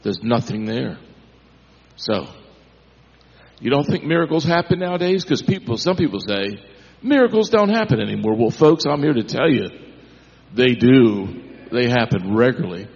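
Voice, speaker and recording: A man with a deep voice telling a church testimony in a folksy way that builds into preacher-like emphasis. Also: very animated, moderate pace, darkish tone. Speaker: congregation member. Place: church sanctuary. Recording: echoey church hall, dull sound.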